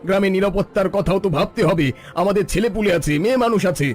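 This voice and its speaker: Male actor as distrustful village busybody, cartoon drama: insistent, accusing, slightly high pitch, faint music underneath. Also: fast, darkish tone. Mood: wary, gossiping. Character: suspicious, bossy village man stirring up distrust. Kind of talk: cartoon story dialogue.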